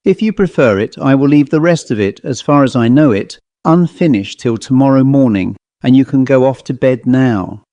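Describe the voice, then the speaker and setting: Man reading as a calm, mellow philosophical gentleman. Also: mid-range voice, dry recording, dull audio, lightly acted. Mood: watchful, tranquil. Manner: deliberate, reasoning, articulate. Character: calm, philosophical gentleman recounting an uncanny experience.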